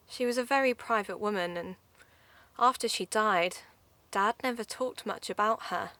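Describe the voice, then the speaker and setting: A woman's voice: medium pitch, fairly bright tone, natural voice. A woman playing an earnest, curious young investigative podcaster in a naturalistic audio drama, talking as if thinking aloud. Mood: wistful. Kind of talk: naturalistic audio drama acting, narrating into recorder.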